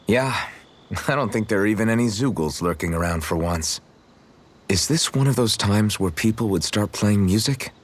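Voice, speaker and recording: Game hero: young swordsman, lowish husky voice, casual, modest and good-natured. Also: expressive, moderate pace. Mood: relaxed, thoughtful. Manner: casual, unpretentious. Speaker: video game protagonist. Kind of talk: English-language video game character dialogue.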